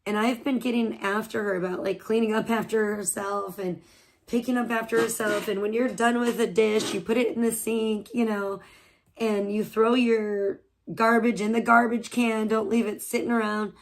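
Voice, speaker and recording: A woman in her thirties streaming live from home, chatting loosely with viewers, slightly hoarse and swearing freely between laughs. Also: a little high, steady tone, normal speed, a little hiss or hum. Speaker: livestreamer.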